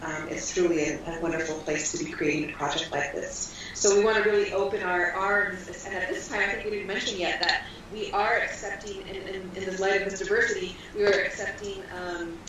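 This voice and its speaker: A woman's voice: medium pitch, steady tone, brisk, hardly any pauses. A woman guest describing her school in flowing, earnest interview answers with frequent ums, heard from far off in a very echoey, noisy room.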